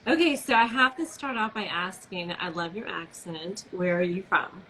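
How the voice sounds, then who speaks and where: A woman's voice: lowish voice, moderate pace, fairly bright tone, poor audio. A woman hosting a casual live video interview, talking with gushing enthusiasm. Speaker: host.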